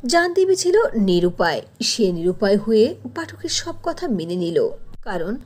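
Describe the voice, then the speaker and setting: A woman narrating a cartoon story in an expressive storytelling manner. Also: medium pitch, measured pace, slightly rough.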